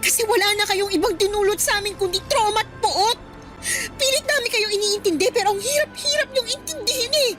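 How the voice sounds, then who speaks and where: A devoted young daughter in a radio drama. Her voice is high, earnest and emotional, protective and outspoken, with music behind her. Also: fairly quick, a bit bright. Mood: upset, defiant. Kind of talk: Filipino radio drama acting.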